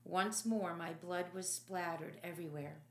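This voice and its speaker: A woman reading dramatic first-person audiobook narration in a solemn, deliberate, emphatic way. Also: on the low side, fairly even intonation, a little hiss or hum. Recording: echoey room.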